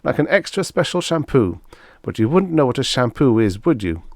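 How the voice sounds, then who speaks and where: A man's voice: mid-range voice, sing-song, normal speed, darkish tone, dry recording, clean studio sound, voice pushed up. A man voicing a cocky, defiant human prisoner, flippant and mock-innocent, in a pulp science fiction audiobook. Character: cocky, defiant male human prisoner taunting his alien captors.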